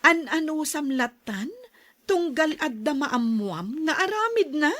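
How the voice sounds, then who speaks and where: A woman with an Ilocano accent playing an excited female confidante in a radio drama. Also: sits high, a little slow. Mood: curious. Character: excited female confidante of pregnant young wife.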